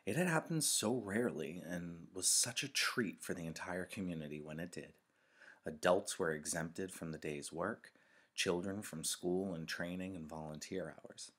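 A man reading a novel aloud like a teacher, in a measured, expressive storytelling manner. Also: deep voice. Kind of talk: audiobook-style read-aloud narration of a novel.

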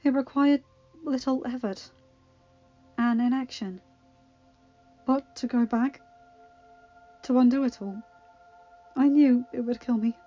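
Woman doing a dying enchantress, young, dry-witted, breathless, raised and loud. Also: sits high, takes it slowly, pauses often, highs cut off, faint music behind, voice pushed up. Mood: strained. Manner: breathless, strained, dryly sardonic.

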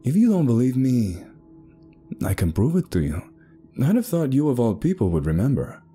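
A man's voice: medium pitch, big pitch swings, normal speed, warm tone, soft background music, voice pushed up, natural voice. A man voicing a smug, mysterious, boastful male traveler in a told story. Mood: smug boasting.

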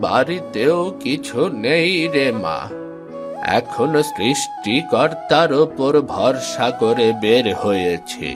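A man's voice: medium pitch, very animated, takes it slowly, over music. A man voicing a kindly, poor old village neighbour in a cartoon, with a folksy, sympathetic manner. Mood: weary sympathy. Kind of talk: cartoon voice acting.

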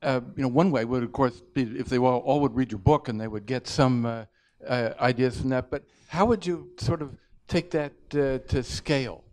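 A man's voice: mid-range voice, normal speed, hardly any pauses, darkish tone. Man asking a polite audience question.

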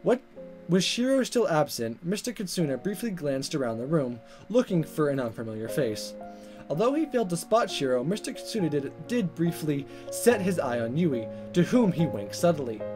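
A man narrates a visual novel as a let's player. His pitch moves around a lot as he reads expressively, with faint game music underneath. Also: big pitch swings, normal speed.

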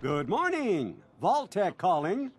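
An actor playing a pushy, cheerful, polite door-to-door salesman in a video game, with a raspy voice. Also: high-pitched, huge pitch swings, slow, background noise, exaggerated voice. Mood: eager, urgent. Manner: effusive, polite, ingratiating. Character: eager, fast-talking male door-to-door salesman, pushy and cheerful.

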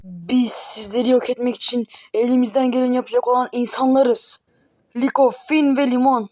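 A woman voicing panicky kid characters in a homemade cartoon, with excitable exclamations. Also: a little high, normal speed, no room sound. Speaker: cartoon voice performer.

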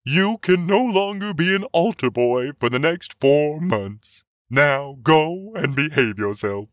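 Man acting a slow, swooping, insistent priest. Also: a little high, animated, fairly bright tone, dry recording, studio quality. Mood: resigned, stern. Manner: deliberate, questioning, admonishing. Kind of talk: acted dialogue in a narrated joke.

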